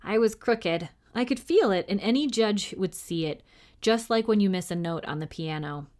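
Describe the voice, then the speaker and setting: A moody young schoolboy from a storybook, voiced by a woman. He keeps to himself and speaks with reluctance, in a medium-pitched voice. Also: lively intonation, moderate pace, darkish tone, natural voice. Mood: earnest. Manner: muttering, reluctant.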